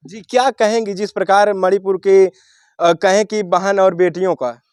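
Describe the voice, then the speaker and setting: A man's voice: high voice, steady tone, measured pace, darkish tone, smooth, dry recording, studio quality. A man, speaking as a local news reporter in a rhetorical, declamatory way that addresses the viewers. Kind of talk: spoken news commentary and interview.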